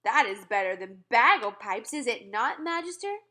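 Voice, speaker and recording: A woman puts on a huge, friendly storybook giant, childlike and excitable. The voice is high and swoops around, mangling words and grammar with glee. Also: moderate pace. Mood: excited, exclaiming.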